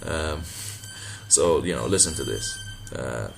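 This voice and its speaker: A man from Malta, a native Maltese speaker, with a deep voice, talking casually and sardonically in a response video, laughing and swearing as he goes. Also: wildly swooping pitch, takes it slowly, vocal fry, noisy background. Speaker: boxing channel vlogger.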